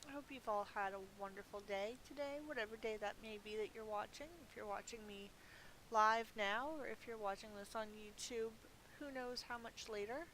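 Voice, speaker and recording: A woman with a slightly high voice chats casually as she plays a game for viewers. She thinks aloud as she goes, in an unscripted streamer's way. A faint hiss sits on her budget microphone. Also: steady tone, moderate pace, fairly bright tone, rough recording. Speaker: streamer, gameplay host. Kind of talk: casual live gameplay commentary. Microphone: budget gaming mic.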